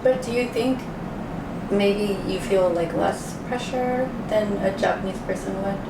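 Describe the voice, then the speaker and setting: A woman's voice: mid-range voice, measured pace. A woman hosting a casual group interview and putting questions to her guests. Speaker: host and interviewer. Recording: echoey, distant microphone, clear background noise.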